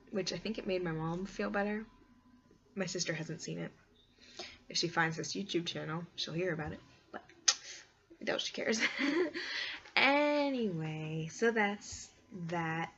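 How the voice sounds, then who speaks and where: A woman chatting casually and ramblingly to her viewers, with an animated, dramatic storytelling manner. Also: lowish voice, measured pace, pauses often, very noisy. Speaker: vlogger and hobby story writer. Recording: dull lo-fi home recording.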